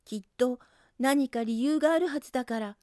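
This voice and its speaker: A woman voicing a brave, caring male rabbit who speaks gently and sincerely in a children's storybook. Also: sits high, a little fast, no room sound, studio quality, natural voice. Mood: determined.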